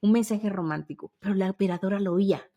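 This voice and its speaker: A woman co-hosting a podcast in casual, nostalgic chat, telling anecdotes in an animated way and acting out what people said. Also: mid-range voice, fast. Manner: animated anecdote-teller who acts out quoted remarks. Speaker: podcast co-host.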